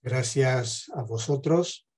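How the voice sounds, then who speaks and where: A middle-aged man with an Argentine accent giving a reflective lecture, measured, with hesitant pauses between phrases. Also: mid-range voice, darkish tone. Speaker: speaker, theologian and counsellor. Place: home study with bookshelves. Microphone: headset on a video call.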